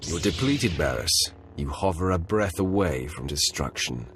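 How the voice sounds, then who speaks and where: An actor playing a confident, imposing dark warrior in a video game, speaking in a commanding, cold and defiant manner. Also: male voice, deep voice, expressive, measured pace. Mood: cold triumph. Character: confident, imposing young Sith warrior, the emperor's enforcer. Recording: music under the voice.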